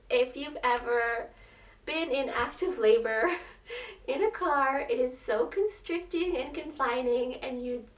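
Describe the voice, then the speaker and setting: High-voiced, slightly raspy young woman, echoey room. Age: young adult. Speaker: vlogger telling her birth story. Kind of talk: casual personal storytelling to camera. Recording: roomy echo, distant microphone.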